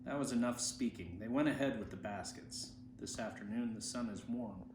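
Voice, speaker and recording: A man's voice: mid-range voice, moderate pace. A man reading a literary story aloud as an amateur reader. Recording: roomy echo, faint background noise.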